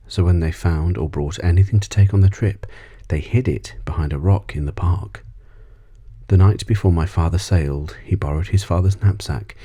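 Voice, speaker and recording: Deep, husky man reading a bedtime story. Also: warm tone. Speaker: audiobook reader. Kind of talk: ASMR bedtime story narration.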